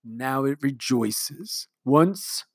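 A man's voice: fairly high pitch, lively intonation, moderate pace, dry recording, clean studio sound, voice pushed up, natural voice. A man voicing a grandiose, sentimental middle-aged male commander who talks in long, flowery speeches. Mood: grandiloquent. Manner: long-winded, pompous speechmaking.

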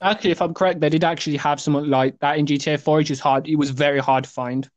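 A man's voice: fairly high pitch, steady tone, rapid-fire, dry recording, muffled recording. An eighteen-year-old man co-hosting a casual podcast, hesitant and often restarting his sentences. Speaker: podcast co-host.

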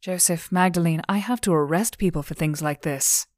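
A woman's voice: on the low side, normal speed, darkish tone, no room sound, studio quality, close to an everyday voice. Woman playing a blunt young female officer. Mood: alarmed, stern.

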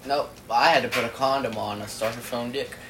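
A woman with a low, mumbling voice joking crudely while playing a game with a friend. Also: steady tone, fairly quick, fairly bright tone, slight background noise. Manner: joking, crude, sing-song bits. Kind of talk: casual chat over a game.

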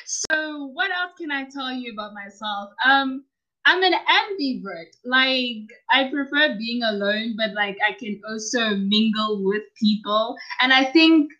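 A young South African woman whose home languages are Northern Sotho and Tsonga, chatting in a relaxed way and laughing easily. Her voice sits a little high. The recording sounds muffled and lo-fi. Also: a little slow. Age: young adult. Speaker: guest, university student and podcaster. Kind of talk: casual interview chat. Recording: muffled lo-fi audio.

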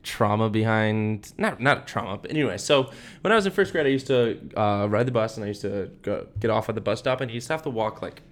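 A man's voice: lowish voice, brisk. A young man co-hosting a podcast in casual chat, laid-back and dropping "man" and "dude" often. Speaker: podcast co-host.

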